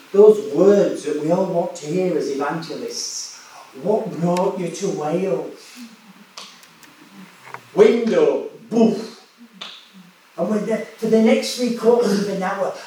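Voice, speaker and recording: A man's voice: high-pitched, darkish tone, slight background noise. A man from West Yorkshire with a Yorkshire accent, an evangelist preaching a sermon in a chatty storytelling way, often restarting his words. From West Yorkshire, England. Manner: conversational, storytelling, many restarts and repetitions. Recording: echoey hall, voice from far off.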